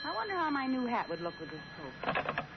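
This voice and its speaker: An actress playing a blithe, adoring, scatterbrained wife with a gushing, theatrical manner, in old-time radio situation comedy style. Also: female voice, sits high, wildly swooping pitch, fairly quick, heavily acted. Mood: breezy. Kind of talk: 1940s-50s radio situation comedy acting. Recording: muffled, hissy old radio recording.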